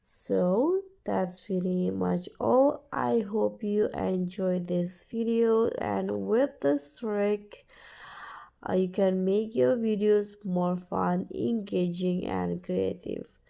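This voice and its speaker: A woman presents a step-by-step app tutorial at an unhurried pace. Her voice sits at a medium pitch with a noticeably creaky edge and a soft, dark tone. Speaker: tutorial host.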